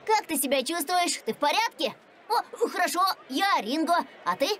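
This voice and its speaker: A performer voicing an excitable, headstrong young boy in an animated series dub, exclamatory and eager. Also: extremely high pitch, normal speed, slight background noise, heavily acted. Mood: bold, friendly. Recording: loud music behind.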